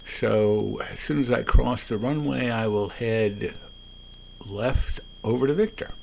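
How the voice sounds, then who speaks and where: Man, pilot vlog commentary, low gravelly voice, unhurried, calm, faint engine hum behind. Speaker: private pilot narrating own flight. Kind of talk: calm explanatory cockpit commentary. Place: small airplane cockpit. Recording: noisy small-plane cockpit, radio transmissions.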